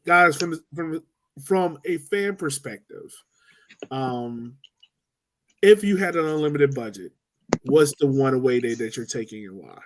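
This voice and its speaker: A man's voice: fairly high pitch, lively intonation, measured pace, rough recording. A man hosting a casual sports podcast in a joking, informal, slangy way. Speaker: podcast host.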